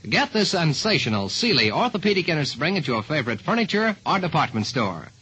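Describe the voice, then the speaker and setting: Old radio announcer guy, lively and husky, dull scratchy recording. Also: fairly high pitch, a little fast.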